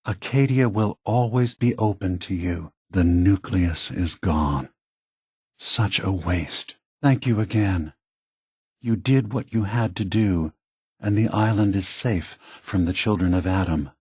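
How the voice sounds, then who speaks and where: Grave, husky synthetic leader. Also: male voice, fairly low pitch, a little mellow, no room sound, clean studio sound. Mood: relieved, grateful, then solemn. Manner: measured, deliberate, solemn. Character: calm, grave synthetic man, weary leader and schemer. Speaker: voice actor. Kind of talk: video game voice acting.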